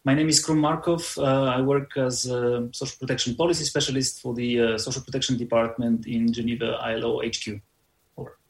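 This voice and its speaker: A man's voice: medium pitch, flat delivery, a little fast, creaky. Hesitant man in a roomy space. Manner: hesitant, formal. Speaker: policy specialist. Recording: roomy echo.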